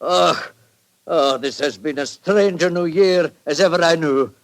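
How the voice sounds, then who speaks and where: High-voiced, slow-talking old Scottish gentleman. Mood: grateful, joyful. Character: emotional, warm-hearted old Scottish gentleman, a father. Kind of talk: 1940s radio acting. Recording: vintage 1940s radio broadcast.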